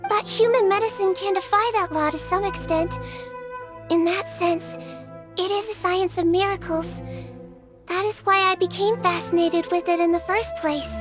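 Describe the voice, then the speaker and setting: A woman voicing a small, childlike Melusine head nurse in a video game, gentle, earnest, polite and measured. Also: very high-pitched, steady tone, over music. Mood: wistful. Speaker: nurse and doctor character.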